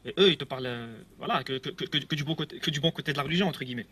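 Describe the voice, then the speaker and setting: A man's voice: on the high side, racing, bright, forceful tone, breathy edge. A man telling his own story on video, rambling and hesitant, with repeats and nervous laughs. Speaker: ex-believer giving a testimony video. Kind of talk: casual video testimony.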